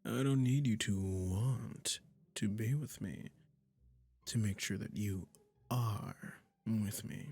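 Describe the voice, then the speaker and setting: A man's voice: lowish voice, dark tone, breathy edge, faint music behind, exaggerated voice. A man playing a possessive, sweetly menacing male witch in an intimate roleplay audio, coaxing and condescending as he speaks to the listener. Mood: obsessive, brooding. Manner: slow, coaxing, condescending pet-name talk, dropping into whispers.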